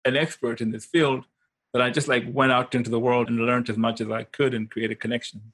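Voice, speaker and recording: A man's voice: medium pitch, little pitch movement, brisk, fairly bright tone. Informal, emphatic man telling stories. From Zimbabwe. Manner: informal, emphatic, often checks in with "right?". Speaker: interview guest, consultant and coach. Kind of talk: animated personal storytelling in casual conversation.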